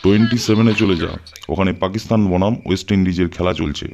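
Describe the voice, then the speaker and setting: A man's voice: sits low, quick pace, darkish tone, slight background noise. A man playing a cocky, hot-tempered young man in an audio story, mocking and defiant in manner. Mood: smug. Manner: taunting, defiant. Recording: slightly dull sound with music.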